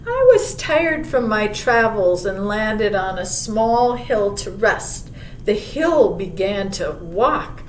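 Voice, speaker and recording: A woman voicing a gentle, wise old male monarch butterfly in a storybook, telling a child of travels with unhurried wonder. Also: sing-song. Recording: roomy, distant mic, faint rumbling noise.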